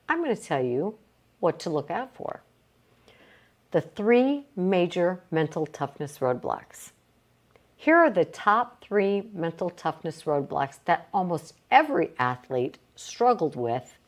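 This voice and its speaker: A woman with a slightly low voice narrates a self-help audiobook. She goes very slowly and deliberately, with lively swings in intonation. Her delivery is emphatic and instructive, like coaching. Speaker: audiobook reader. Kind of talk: scripted narration, reading a motivational self-help book aloud.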